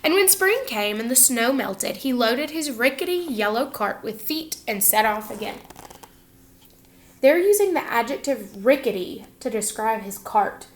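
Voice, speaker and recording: A woman teacher reading a picture book aloud to students, expressive and instructive, pausing to put questions to her listeners. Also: on the high side, a little hiss or hum.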